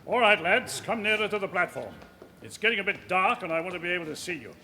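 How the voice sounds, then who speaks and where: An actor playing a senior police officer delivering a formal, clipped, authoritative briefing in a radio drama. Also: male voice, high-pitched, expressive, fast, noisy background. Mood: brisk, commanding. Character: commanding male senior police officer briefing his men.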